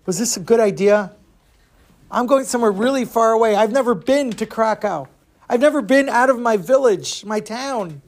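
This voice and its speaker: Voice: medium pitch, brisk, pitched above the performer's own voice, natural voice. A storyteller voicing a nervous eleven-year-old runaway boy who speaks in a hesitant, stammering way. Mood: scared. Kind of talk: oral storytelling character voice.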